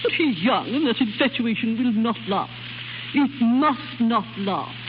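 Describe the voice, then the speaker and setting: An actress playing a haughty middle-aged English duchess, imperious and formal, in 1930s radio drama style. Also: female voice, a little high, expressive, a little slow. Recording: muffled, noisy 1930s radio recording.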